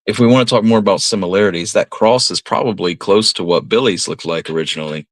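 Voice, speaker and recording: A man's voice: sits low, quick pace, dry recording. A man co-hosting a radio show, chatting casually with questions and comments.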